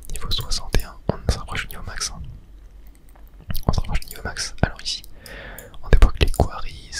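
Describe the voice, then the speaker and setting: A person whispering casual ASMR game commentary, chatting and thinking aloud in a soft, breathy, unvoiced whisper. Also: fairly quick. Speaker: gaming video host.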